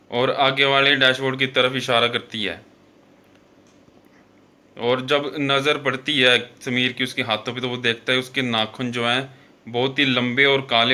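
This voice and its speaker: Bright, forceful male horror storyteller. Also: mid-range voice. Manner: conversational, repetitive filler-laden phrasing with self-corrections. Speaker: host and storyteller of a horror show. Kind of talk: informal horror storytelling narration.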